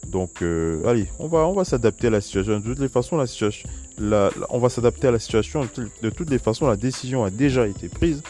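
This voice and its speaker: A man's voice: mid-range voice, expressive, quick pace, mellow. A man hosting a solo basketball news podcast, talking casually with many fillers and restarts. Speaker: podcast host. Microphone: voice message recording.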